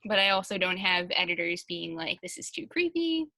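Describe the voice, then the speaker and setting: A woman's voice: fairly low pitch, sing-song, a little fast, pushed and bright, dry recording. A woman chatting casually on a Q&A panel and reading answers aloud as a translator.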